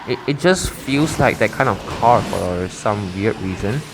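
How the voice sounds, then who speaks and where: A man's voice: fairly low pitch, expressive, a little slow, gravelly, music in the background. A young man casually commenting on his own racing gameplay, rambling and correcting himself as he goes. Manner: rambling, self-correcting, chatty. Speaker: gaming YouTuber. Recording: game sound loud behind voice. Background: racing game engine sounds.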